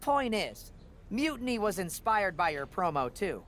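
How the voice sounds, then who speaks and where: A man's voice: high voice, big pitch swings, unhurried, fairly bright tone, slight background noise, heavily acted. A man playing a chatty, awkwardly joking wrestling official in a video game, wordy and rambling. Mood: earnest, wary. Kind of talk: video game cutscene dialogue.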